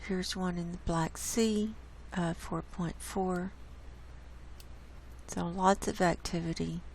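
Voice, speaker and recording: A hoarse woman hosting her own channel, walking casually through earthquake maps on screen and pointing out each spot with an unhurried, gravelly delivery. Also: fairly low pitch, steady tone, darkish tone, slight background noise. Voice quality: gravelly, coughs and clears throat. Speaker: online science channel host. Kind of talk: casual screen-share data report. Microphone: desk mic.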